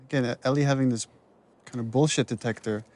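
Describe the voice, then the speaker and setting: A man's voice: mid-range voice, fairly even intonation, fast talker, a little mellow. A man speaking as a game writer and director, giving casual, conversational, analytical behind-the-scenes commentary.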